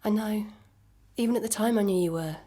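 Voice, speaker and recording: An actress playing a calm, resigned ex-girlfriend in a naturalistic audio drama, measured, dry and matter-of-fact. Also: mid-range voice, lightly acted. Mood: frank, tender.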